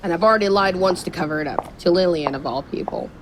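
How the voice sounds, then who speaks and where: An actress playing a composed, discreet young royal woman in an audio drama, measured and poised. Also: fairly low pitch, natural voice. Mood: guarded. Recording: clear background noise.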